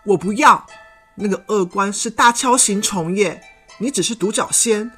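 A woman's voice: mid-range voice, normal speed, a bit bright, lightly acted. A woman voicing a selfish young male stag beetle swordsman in a children's audio story. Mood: cold dismissive. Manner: aloof, dismissive, later humbled. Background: background music.

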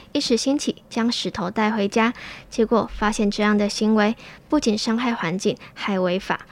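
A young woman with a Taiwanese Mandarin accent, narrating a scripted news story as a student reporter. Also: fairly high pitch. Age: young adult.

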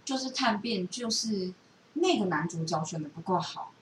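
A woman with a Taiwanese Mandarin accent chatting casually as a podcast co-host, giving animated, opinionated, rambling explanations. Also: mid-range voice, normal speed. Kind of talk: casual chat about films and series. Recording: roomy, distant mic with steady noise.

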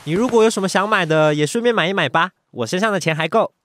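A man's voice: sits high, fairly bright tone, slight background noise. A man with a Taiwanese Mandarin accent voicing an impulsive, cheerful young rich heir who laughs and gets flustered easily. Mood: playful. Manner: playful, eager, quick to laugh and fluster. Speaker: voice actor. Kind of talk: amateur radio drama acting.

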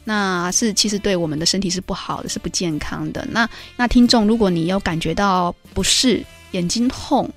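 A woman's voice: medium pitch, moderate pace, hardly any pauses, faint music behind. A woman with a Taiwanese Mandarin accent co-hosting a radio show in a chatty way, speaking conversationally to listeners with a congested, slightly nasal voice. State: congested with a runny nose. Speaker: radio co-host.

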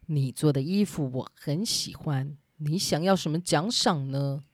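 A woman voicing a fairy-tale king with a formal, commanding, measured manner. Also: low-pitched, a little mellow, no room sound, clean studio sound, voice pushed down. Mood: gracious, humbled. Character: stern but grateful old-tale king, male.

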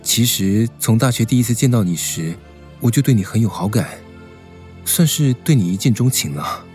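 An actor playing a gentle, devoted and protective young man in a romantic radio drama, speaking earnestly. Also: fairly low pitch, animated, normal speed, soft background music, natural voice. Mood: tender, earnest. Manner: tender, earnest, caring.

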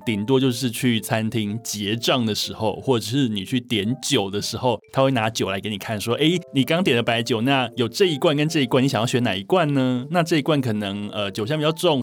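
A man with a Taiwanese accent answering interview questions as a guest, telling stories in a conversational way. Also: mid-range voice.

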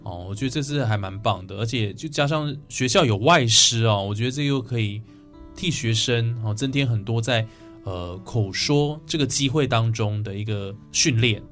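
A man's voice: medium pitch, normal speed, fairly bright tone, soft background music. A man with a Taiwanese Mandarin accent hosting a radio interview in a conversational, affirming manner. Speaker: radio host.

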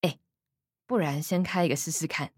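A woman's voice: sits low, moderate pace, breathy edge, no room sound, studio quality. An actress playing a sarcastic, teasing young working woman, the older sister in a radio drama, with a Taiwanese Mandarin accent. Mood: eager, curious. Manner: sardonic, teasing.

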